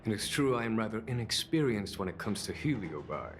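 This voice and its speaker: An actor playing a composed, courteous military general who speaks in a measured, dryly amused way. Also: male voice, fairly low pitch, sing-song, faint music behind. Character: calm, composed male general, courteous and wry. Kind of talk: game cutscene voice acting.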